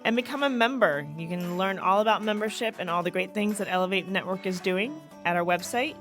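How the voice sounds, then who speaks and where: A woman's voice: medium pitch, fairly quick, a bit bright, faint music behind. A woman voiceover artist reading a scripted podcast outro in a friendly, polished way. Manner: friendly, polished promo read. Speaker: voiceover announcer.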